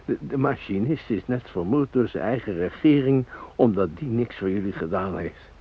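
An actor in a radio drama playing an ordinary captive family man, shaken but protesting in halting, plain-spoken phrases. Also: lowish voice, expressive, husky. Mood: bewildered, indignant. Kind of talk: radio drama acting, naturalistic. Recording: dull lo-fi radio drama recording.

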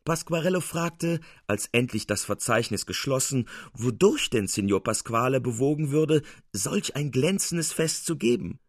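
A man narrating a scripted literary tale for radio as an expressive, theatrical storyteller. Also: mid-range voice, normal speed, hardly any pauses, dry recording, clean studio sound.

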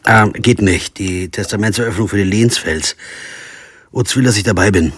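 A man's voice: lowish voice, moderate pace, fairly bright tone, breathy edge, dry recording. An actor playing a sober, observant lawyer who narrates his own story in a radio crime drama. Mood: matter-of-fact. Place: studio.

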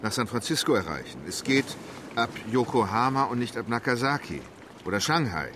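A composed, decisive English gentleman, played by a man in a radio play. He speaks calmly and formally in short, clipped sentences, over a clear bed of background noise. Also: mid-range voice, fairly quick, natural voice.

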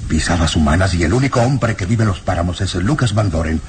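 Male peasant role: low voice, grim, insistent, vengeful, old radio drama with slight noise. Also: lively intonation, quick pace. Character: Pietro, vengeful earnest village peasant man. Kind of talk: old radio serial acting. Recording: noisy old radio serial recording.

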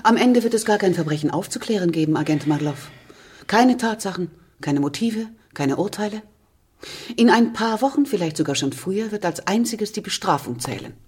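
A woman acting a guarded, bitter widow in a radio play, speaking in a measured, cool, knowing manner. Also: mid-range voice, expressive, a little mellow, husky. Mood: resigned, bitter. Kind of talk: radio drama dialogue.

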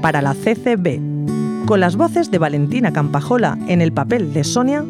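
A woman's voice: fairly low pitch, very animated, normal speed. A woman from reading scripted radio drama credits as an announcer. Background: loud guitar music.

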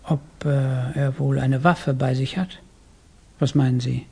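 An actor playing a courteous but coldly menacing Tsarist police chief in a German radio play, formal, probing and gravelly. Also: male voice, mid-range voice, little pitch movement, measured pace, a little mellow.

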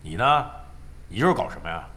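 An actor in a Chinese audio drama playing a proud, washed-up older gamer with a gruff, foul-mouthed, defensive manner. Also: male voice, sits low, very animated, quick pace, pushed and bright, exaggerated voice. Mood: blustering. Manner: blustery, cursing, defensive. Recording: background music under the dialogue.

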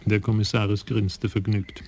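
Gravelly male radio play narrator. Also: on the low side, little pitch movement, normal speed, darkish tone, a little hiss or hum.

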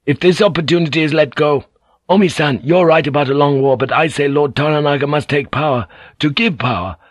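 A man voicing a young samurai son who is excitable and eager, words tumbling out in a rush. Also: on the high side, no room sound, pitched above the performer's own voice, lightly acted. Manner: eager, bursting, rushing.

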